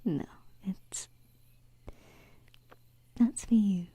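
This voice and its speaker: A woman voicing a gentle, playful, wistful alien princess in an ASMR roleplay, whispering breathily and tenderly, close to a binaural microphone. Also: mid-range voice, breathy edge, no echo. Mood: teasing, affectionate. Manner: slow, hesitant, tender, softly teasing.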